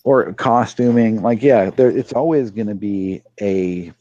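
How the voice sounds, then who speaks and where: A man talking casually as a panelist on a podcast, somewhat halting as he thinks aloud. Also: fairly low pitch, mellow, no echo, dull audio. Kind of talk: casual discussion.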